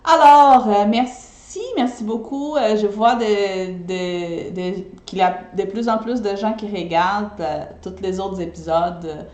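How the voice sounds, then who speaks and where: A woman's voice: medium pitch, unhurried, hardly any pauses, darkish tone, roomy sound. A woman from Brazil whose first language is Portuguese, speaking French with a mixed Brazilian and Québécois accent. She chats casually to her viewers in a live video, often checking in with them by asking "ok?". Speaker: eco-friendly party decorator.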